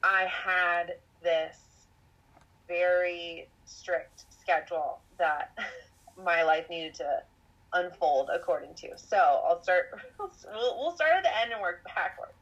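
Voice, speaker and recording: A woman's voice: lowish voice, lively intonation, slow pace, fairly bright tone. Podcast host, woman, late twenties, rambly confessional chat on a slightly dull lo-fi track. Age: 20s. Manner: rambling, self-reflective, laughs often. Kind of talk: casual conversational storytelling.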